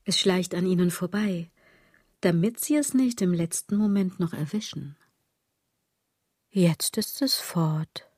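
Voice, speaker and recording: A low-pitched, sardonic woman delivers a literary radio monologue in a close, dry sound. She is deliberate and declamatory, musing straight at the listener and letting phrase ends sink almost to a whisper. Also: darkish tone, breathy edge, no echo. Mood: coldly taunting. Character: musing, sardonic female speaker addressing the listener directly.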